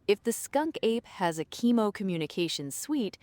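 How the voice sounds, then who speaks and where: A woman's voice: mid-range voice, sing-song, normal speed, darkish tone, no echo, close to an everyday voice. A woman playing a brainy, confident scientist heroine in a radio play, with precise, plucky delivery.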